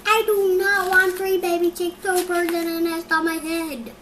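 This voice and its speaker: A child voicing an excitable, alarmed elephant from a picture book. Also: very high-pitched, normal speed, pitched above the performer's own voice. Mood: exasperated. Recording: echoey, noisy room recording.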